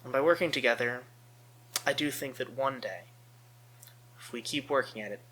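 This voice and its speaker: American man, channel host, bright forceful tone, mid-range pitch, emphatic deliberate phrasing, earnest commentary. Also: breathy edge, poor audio.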